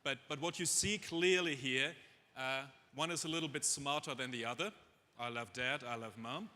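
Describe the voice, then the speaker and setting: A man's voice: medium pitch, normal speed, pushed and bright. A middle-aged man with a German accent giving an informal, humorous conference talk, self-deprecating and chatty with asides to his audience. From Germany, raised in Dubai. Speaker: chief executive speaking on stage. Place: on stage in a large conference hall. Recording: echoey conference hall, long reverb.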